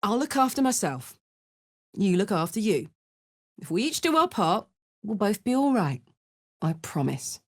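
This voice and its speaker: A woman's voice: mid-range voice, very animated, a little slow, long pauses, no echo. Brash, big-hearted warrior woman, dry close sound. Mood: tender, caring. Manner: informal, blunt, exclamatory, swings from playful to tender. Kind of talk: video game character voice lines.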